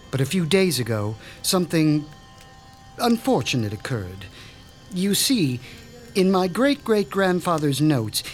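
A sinister mad doctor from a horror radio play, measured and pompous, savoring every cruel detail. His voice sits a little high for a man, with faint music under it. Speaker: Dr. Stein. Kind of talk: radio drama acting.

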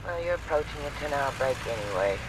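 A man playing a brisk, placating trucking dispatcher in a naturalistic audio drama, reassuring in a breezy, dismissive way. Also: medium pitch, animated, slight background noise, lightly acted, rough recording.

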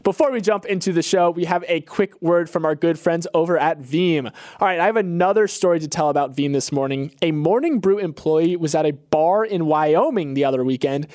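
Higher-pitched male podcast co-host, dry studio sound. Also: fairly quick, few pauses, darkish tone, dry recording. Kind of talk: casual conversational podcast commentary.

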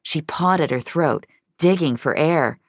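A woman's voice: deep voice, a little slow, no room sound, clean studio sound. A woman narrator reading scripted fiction in a dramatic storytelling style.

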